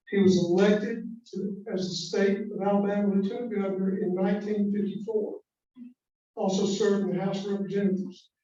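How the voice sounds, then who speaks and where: A club member presents a scholarship, reading formally from prepared notes in a mellow tone. The voice sounds distant and dull, and a strong hall echo surrounds it. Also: mid-range voice. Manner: reads from prepared notes, stumbles on a name. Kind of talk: formal announcement.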